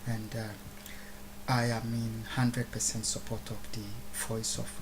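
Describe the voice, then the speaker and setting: A man from Ghana with a Ghanaian accent giving a solemn, formal, oratorical address, halting with long pauses and deliberate repeated phrases. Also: mid-range voice, monotone, noisy background. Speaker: speaker recording a video address. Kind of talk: solemn public address, unscripted.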